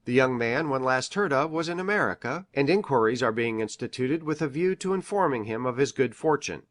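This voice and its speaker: Country doctor, male reader: earnest, formal, mid-pitched, measured storybook delivery. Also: expressive, close to an everyday voice. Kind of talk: measured dramatic audiobook reading of formal prose.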